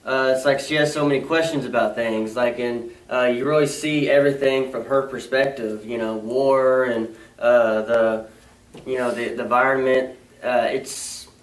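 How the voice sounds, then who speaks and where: A young man co-hosting a casual movie review, talking haltingly with many fillers and restarts. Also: medium pitch, steady tone. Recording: echoey room, mic far off.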